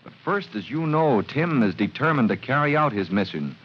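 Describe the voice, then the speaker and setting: Male radio narrator, medium pitch, dramatic and formal, vintage 1940s serial; dull, faintly hissy recording. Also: big pitch swings, normal speed. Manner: dramatic, formal announcer delivery. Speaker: announcer and narrator.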